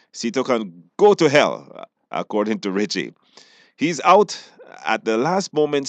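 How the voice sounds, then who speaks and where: A man's voice: medium pitch, fairly bright tone, no echo. A man presenting on radio, narrating a recap of a serial episode.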